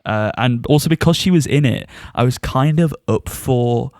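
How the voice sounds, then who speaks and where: A man's voice: medium pitch, normal speed, darkish tone, dry recording, studio quality. A man co-hosting a podcast, giving a casual review and often chuckling as he talks. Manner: chuckles often while talking.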